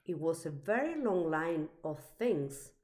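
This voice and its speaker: A woman reading a children's picture book aloud in an expressive, deliberate storytelling manner. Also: deep voice. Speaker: storybook reader.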